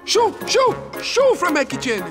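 Fussy cartoon chef, wildly swooping high pitch, excitable, music underneath. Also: male voice, measured pace, full character voice. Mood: flustered. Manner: excitable, exclamatory. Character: flustered, fussy adult male castle chef. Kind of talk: children's cartoon acting.

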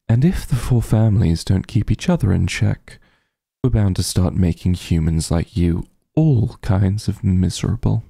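A man playing a teasing, protective vampire mafia heir in an intimate ASMR roleplay, with a husky, gravelly, breathy voice and a sly murmur. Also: fairly low pitch, no echo, studio quality, full character voice. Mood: protective, matter-of-fact. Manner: slow, smooth-tempered, teasing drawl with soft murmurs.

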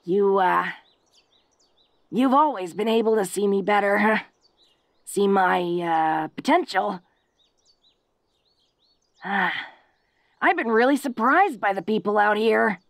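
A woman's voice: medium pitch, slow, long pauses, no room sound. A woman playing a tough but awkward, tender-hearted young female orc in a romantic audio roleplay, speaking in a folksy, hesitant, earnest way. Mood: shy, affectionate.

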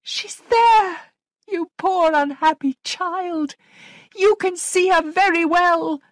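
A woman's voice: medium pitch, lively intonation, unhurried, no echo, pitched above the performer's own voice. A woman voicing an anguished young governess in a storybook reading, with intense, emphatic delivery. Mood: frantic. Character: anguished young governess, desperate, insistent, haunted. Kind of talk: dramatic audiobook character acting.

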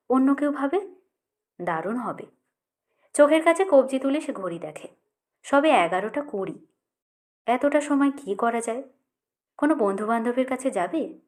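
A woman reading a literary short story as an audiobook narrator. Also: on the high side.

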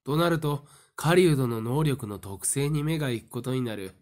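A man playing a smug, calculating young fortune-teller in an audio drama, explaining things deliberately. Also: medium pitch, animated, a little mellow, lightly acted. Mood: coolly explaining.

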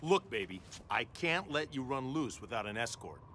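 An actor playing a cocky, flippant action star in a fighting game, wisecracking with an American accent. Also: male voice, medium pitch, expressive, moderate pace, fairly bright tone, slight background noise, close to an everyday voice. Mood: smug bravado. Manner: brash, wisecracking.

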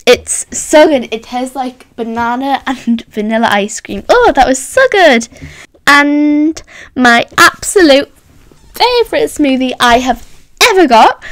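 A woman's voice: high-pitched, a bit bright, no echo. Chatty lady doing a voiced roleplay vlog, gasps and hums, dead dry sound. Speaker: roleplay channel host.

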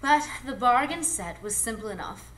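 A woman playing an alluring, ageless, mysterious adventuress in a live radio drama, speaking in a measured, coy, theatrical way. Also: fairly high pitch, expressive, a bit bright. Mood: wistful. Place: live performance space before an audience. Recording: noisy live stage recording.

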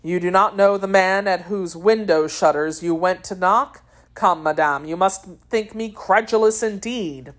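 A woman's voice: fairly low pitch, measured pace, close to an everyday voice. Woman reading as an ardent, courtly young gallant. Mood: jealous. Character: ardent, jealous, persistent gallant young man under twenty.

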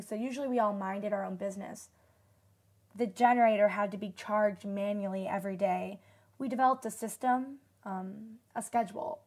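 An actress playing a wistful disaster survivor in a radio drama, recalling the past in a measured, reflective way. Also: female voice, medium pitch, a little mellow, close to an everyday voice. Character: female asteroid-disaster survivor recalling shelter life, wistful. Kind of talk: radio drama acting as interview testimony.

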